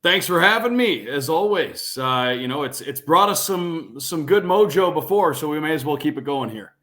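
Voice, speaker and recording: A man's voice: on the high side, animated, normal speed, bright, forceful tone. A man who works as a broadcaster, giving fluent, expansive sports analysis as a podcast guest.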